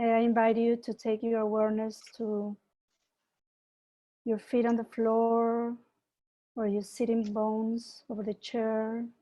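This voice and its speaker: A woman from Peru, a mindfulness teacher, giving an online talk and gently guiding a meditation over a video call. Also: a little high, low-quality recording. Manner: slow, soft guiding voice with gentle invitations. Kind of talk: online presentation and guided meditation.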